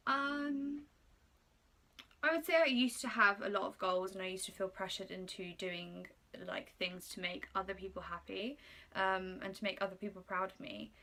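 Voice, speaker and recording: A woman's voice: medium pitch, pushed and bright. A woman in her twenties with Polish as her first language, chatting casually as she reads out and answers get-to-know-me questions with plenty of ums. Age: 20s. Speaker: YouTuber.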